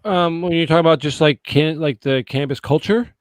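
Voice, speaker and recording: A man's voice: on the high side, brisk, no room sound, studio quality. A man in his forties from the Boston area, chatting casually as a podcast guest, rambling and swearing, often asking "you know what I mean?". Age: 40s. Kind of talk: casual chat.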